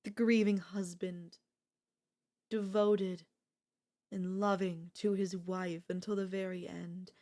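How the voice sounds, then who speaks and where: A woman's voice: mid-range voice, mellow. A woman playing an obsessive, lovestruck and gleefully sinister older sister in an ASMR audio roleplay. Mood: scheming, then mock grief.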